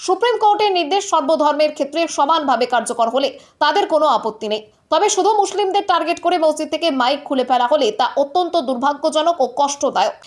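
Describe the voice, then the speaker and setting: A woman reads scripted news narration as a presenter. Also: high-pitched. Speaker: news presenter for a political news channel.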